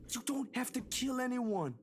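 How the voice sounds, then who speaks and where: A woman's voice: fairly high pitch, lively intonation, normal speed. Earnest young investigator, woman reading, urgent pleading lines, faint background hiss.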